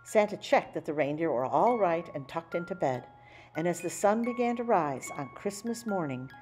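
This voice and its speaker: A woman reading a children's picture book aloud in an animated storytelling style. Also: lowish voice, normal speed, soft background music. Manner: animated, acting out dialogue. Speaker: storybook reader.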